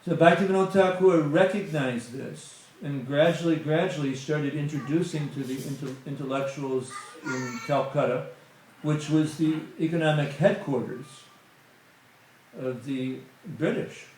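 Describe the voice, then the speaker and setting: A man's voice: a little high, measured pace, darkish tone, a little hiss or hum. Man preaching in an echoey hall. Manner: conversational, many fillers like "you know". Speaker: guest speaker at a temple satsang. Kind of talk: spiritual talk to a congregation. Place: temple hall.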